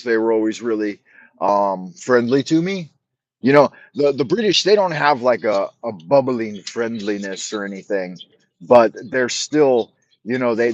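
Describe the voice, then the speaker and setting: A man's voice: fairly low pitch, no room sound, rough recording. A middle-aged man chatting on a casual live stream, rambling with frequent restarts and repeated words, and speaking bluntly. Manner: blunt, swears freely. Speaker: livestream host.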